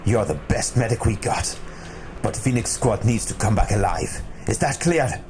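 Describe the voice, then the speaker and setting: A young man acts out a stern male commander of a besieged refuge, barking formal, clipped orders to his squad in a homemade radio play. His pitch rises and falls a lot as he speaks, and a faint hiss sits under his voice. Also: animated, normal speed, close to an everyday voice. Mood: commanding. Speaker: commanding officer. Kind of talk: amateur radio drama acting.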